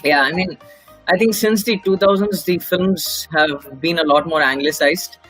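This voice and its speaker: A man's voice: fairly high pitch, moderate pace, pushed and bright, faint music behind, low-quality recording. A man from India with an Indian accent and Tamil as his first language, explaining things casually as a guest. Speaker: guest film enthusiast.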